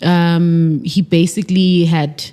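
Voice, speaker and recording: A South African woman, a podcast guest, telling a personal family story in a measured, reflective way with pauses and restarts. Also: on the low side, little pitch movement, warm tone.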